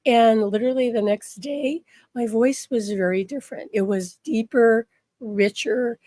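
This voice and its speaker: A slightly hoarse woman giving an earnest spiritual talk, often checking in with the listener. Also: fairly high pitch, a little slow, mellow, no room sound, studio quality. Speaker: spiritual teacher.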